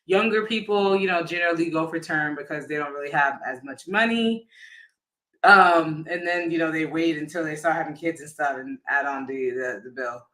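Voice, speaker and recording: A man with a fairly high, very bright voice explains things in an informal live-talk style. His delivery is casual and halting, with sentences often restarted and reworded as he goes. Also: rough recording. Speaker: insurance agent giving a financial education talk.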